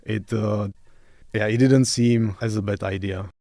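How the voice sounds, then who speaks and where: A middle-aged man from the Czech Republic speaks English with a Czech accent. His voice is low and flat in intonation, captured dry. He answers as a podcast guest, measured and polite. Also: no room sound. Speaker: podcast guest, special forces officer. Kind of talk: interview, recounting personal history.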